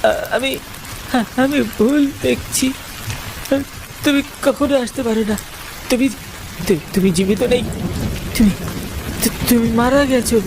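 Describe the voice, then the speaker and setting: A man's voice: very high-pitched, unhurried, long pauses, pitched above the performer's own voice. A man playing a terrified, guilty disciple in a horror cartoon, stammering and pleading for mercy. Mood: terrified disbelief. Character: terrified, guilty male disciple begging for his life. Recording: rain and thunder noise.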